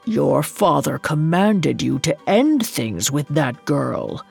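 Woman putting on a slow, menacing male lion. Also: low-pitched, very animated, vocal fry, darkish tone, husky, faint music behind, pitched below the performer's own voice, heavily acted. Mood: reproachful. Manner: slow, threatening, commanding. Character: fierce grieving male lion, menacing royal advisor.

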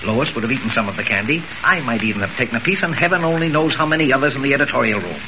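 An actor playing a gruff, sputtering middle-aged newspaper editor in a 1940s radio serial, demanding and repeating his words. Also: medium pitch, expressive, racing, lightly acted. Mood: alarmed. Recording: noisy, muffled vintage radio recording.

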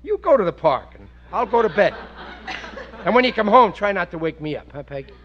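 Swooping, bumbling radio sitcom husband. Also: male voice, sits high, lively intonation, brisk, highs cut off. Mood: dry, stubborn. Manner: folksy, blustery, clueless. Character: bumbling, oblivious working-class husband and father. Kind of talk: 1940s radio sitcom acting. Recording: vintage radio broadcast recording.

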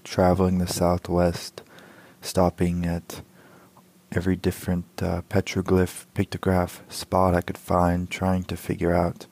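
A man's voice: low-pitched, vocal fry, a little mellow. A man, a poet introducing himself and his work. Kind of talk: spoken introduction to a poetry reading.

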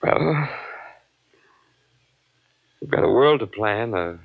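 An American actor playing a gentle, rambling last man on earth in 1950s radio drama style, hesitant and coaxing, often repeating words. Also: on the low side, lively intonation, slow, creaky, full character voice. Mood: thoughtful. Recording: dull, lo-fi old radio recording.

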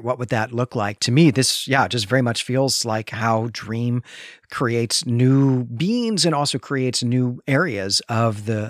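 A male podcast co-host in an animated, conversational book discussion. His voice sits at a middle pitch and he leans on key words with enthusiasm. The sound is dry and close, with very little room. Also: dry recording.

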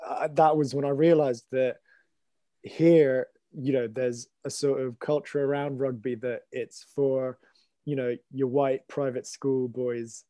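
A man's voice: medium pitch, flat delivery, unhurried, mellow, no room sound. A young man from Hong Kong hosting a podcast, earnest and loosely phrased. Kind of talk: casual discussion.

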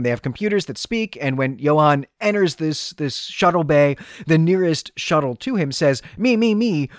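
Man, podcast host, conversational story recap, average pitch, dry recording. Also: no room sound.

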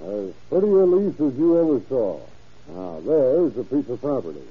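An actor playing an eager, shifty middleman in 1940s radio drama, with a husky voice and a wheedling, hesitant, folksy manner. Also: mid-range voice, big pitch swings, moderate pace. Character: eager, shifty small-time hustler middleman. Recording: thin phone-like old radio recording.

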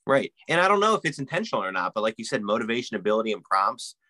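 Bright, quick-talking man, dry sound. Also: lowish voice, big pitch swings, no echo. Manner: fillers, keeps asking "right?". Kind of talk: casual podcast chat.

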